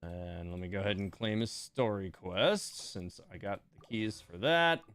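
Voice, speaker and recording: A man's voice: lowish voice, moderate pace, a bit bright, smooth, no echo, soft background music. A man giving casual, rambling live stream commentary on a game, thinking aloud as he plays.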